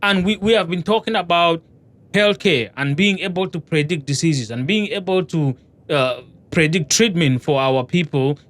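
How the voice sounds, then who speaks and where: A man's voice: fairly high pitch, normal speed, a bit bright. A man in a casual discussion, talking with hesitation and lots of restarts. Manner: hesitant, many fillers and restarts.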